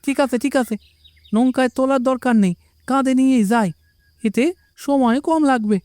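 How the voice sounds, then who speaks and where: A woman voicing an eager, dutiful young villager in an animated moral story. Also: sits high, normal speed, no room sound, lightly acted.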